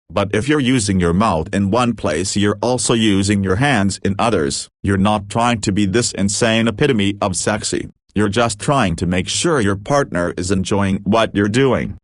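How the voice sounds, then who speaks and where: A man with a fairly low voice reads a run of online posts aloud, one after another. His delivery is flat and steady, the way a narrator reads text off a page. The sound is close and dry, with no room around it. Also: no echo. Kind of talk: read-aloud story narration.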